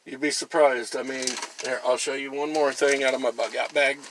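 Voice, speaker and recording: A man's voice: medium pitch, fairly even intonation, brisk, a bit bright, slight background noise. A man talking casually through a product demonstration in a plain-spoken, folksy and chatty way. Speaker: gear reviewer and camper.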